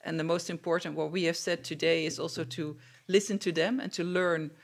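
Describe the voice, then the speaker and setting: A woman from the Netherlands with a Dutch accent, moderating a public panel discussion in halting, hesitant phrases. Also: on the low side, steady tone.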